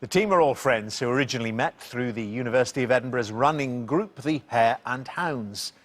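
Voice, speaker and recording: A man hosting a television quiz show, reading the scripted intro and questions clearly and bantering with the contestants. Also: mid-range voice. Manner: jovial, reads questions deliberately, chuckles at his own puns.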